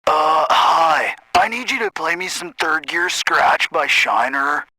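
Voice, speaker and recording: An actor playing a demanding, excitable man calling in to a radio show in a game. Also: fairly high pitch, takes it slowly, slightly rough, no echo, exaggerated voice, low-quality recording. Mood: pleading. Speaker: radio call-in listener. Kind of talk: scripted game radio call-in.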